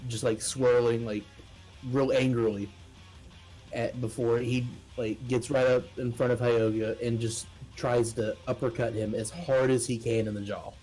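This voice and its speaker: A man's voice: medium pitch, little pitch movement, a little slow, dark tone, poor audio. A man playing a tabletop role-playing game, talking informally and thinking aloud as he describes his moves. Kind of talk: casual game-table talk. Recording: faint music behind the voice.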